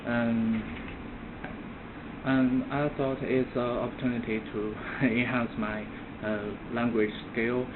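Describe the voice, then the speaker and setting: A young man with a Chinese accent, a language student introducing himself in halting, simple phrases in a classroom. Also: medium pitch, level tone. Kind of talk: informal classroom self-introduction. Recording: muffled phone-like audio, clearly noisy.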